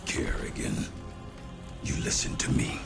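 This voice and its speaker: A man playing a battle-worn, defiant military general in a video game, gruff and bitter, with a gravelly voice. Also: a little slow, lightly acted. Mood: strained, pleading. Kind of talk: dramatic video game acting. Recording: music behind.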